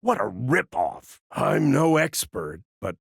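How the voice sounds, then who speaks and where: An actor playing a cocky, folksy old cyborg gunslinger in a video game, with a gruff, gravelly voice and a wry drawl. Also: male voice, mid-range voice, big pitch swings, takes it slowly, dry recording, studio quality, full character voice. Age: older. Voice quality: gravelly, raspy. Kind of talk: video game character voice lines.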